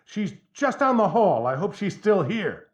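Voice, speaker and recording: Stuffy, animated small-town judge. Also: male voice, high-pitched, a little slow, no echo, heavily acted. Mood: anxious, pleading. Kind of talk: video game voice acting.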